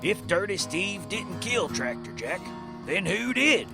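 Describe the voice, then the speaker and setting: Lively male narrator over guitar. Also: sits high, unhurried, creaky. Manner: folksy storyteller. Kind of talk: dramatic scripted Western narration.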